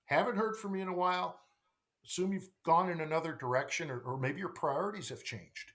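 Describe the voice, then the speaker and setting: A man's voice: on the high side, brisk, fairly bright tone. A middle-aged man talking as a podcast guest and sales coach, emphatic, repeating phrases and posing rhetorical questions. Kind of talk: conversational podcast interview.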